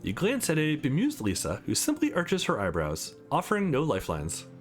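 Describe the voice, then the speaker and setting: A man's voice: fairly high pitch, wildly swooping pitch, moderate pace, faint music behind. A man who is not a native English speaker, reading a visual novel aloud as a let's play host.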